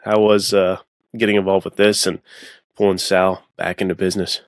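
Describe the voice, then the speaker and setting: A man hosting a podcast in casual conversation, with halting, informal, rambling phrasing. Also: sits low, level tone, no echo, clean studio sound. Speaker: podcast host.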